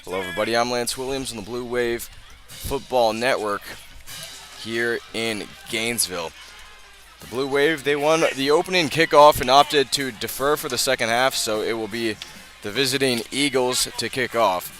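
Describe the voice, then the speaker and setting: An American man doing live play-by-play radio commentary of a high school football game. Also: sharp tone. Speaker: play-by-play announcer.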